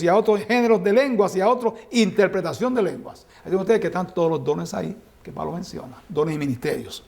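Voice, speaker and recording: High gravelly preacher. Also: male voice, darkish tone. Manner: explanatory, reads scripture then comments with rhetorical questions. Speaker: Bible teacher. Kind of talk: Bible study lecture.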